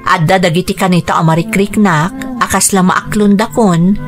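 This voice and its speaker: Low-voiced woman acting over clear music. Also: moderate pace, natural voice. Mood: confiding, wistful. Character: scheming, composed woman confiding her story, would-be stepmother. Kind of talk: scripted radio drama narration and dialogue. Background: background music.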